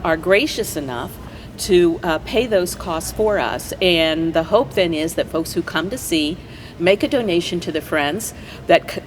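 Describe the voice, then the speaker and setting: A woman guest in a conversational interview, explaining things agreeably in halting phrases. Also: fairly low pitch. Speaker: community theater player, interview guest. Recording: faint noise and background ambience.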